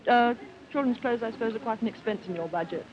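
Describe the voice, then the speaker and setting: A woman's voice: on the high side, quick pace, slight background noise. A woman, a reporter interviewing people on the spot in a department store. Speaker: newsreel reporter. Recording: muffled 1950s newsreel, busy crowd.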